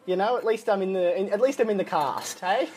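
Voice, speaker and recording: A young man who hosts late night radio, with a high, youthful-sounding voice, chatting in a self-deprecating way with many restarts. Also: fairly quick, darkish tone, a little hiss or hum. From Australia. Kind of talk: casual podcast conversation.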